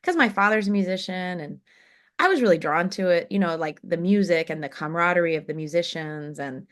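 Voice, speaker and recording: A woman in her thirties with a somewhat low speaking voice. She talks casually and chattily as an interview guest, with frequent fillers and light laughter. Her telling is relaxed and full of small asides. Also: a little fast. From Oklahoma, USA. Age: 30s. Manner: chatty, warm anecdotes with many fillers and laughs. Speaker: jazz singer guest.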